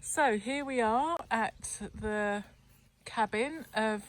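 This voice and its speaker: A woman's voice: on the high side, drawn-out words, low-quality recording. A woman in her fifties hosting a travel vlog outdoors in a rural valley, chatting enthusiastically while holding the camera herself. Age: 50s. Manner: enthusiastic, gushing. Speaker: travel vlogger host. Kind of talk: casual chat. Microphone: handheld camera held by the speaker.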